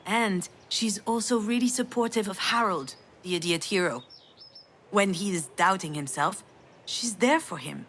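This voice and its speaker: A woman playing a sardonic, self-blaming yet tender mother in a dramatic video game, frank and emotionally expressive. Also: mid-range voice, unhurried, a little hiss or hum. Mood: wry enthusiasm. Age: adult.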